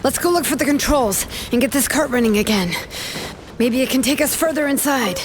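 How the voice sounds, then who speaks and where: A woman's voice: high voice, brisk, slight background noise, close to an everyday voice. An actress playing a cool-headed young woman smith in a game cutscene, laid-back and dryly wry, with frequent sighs.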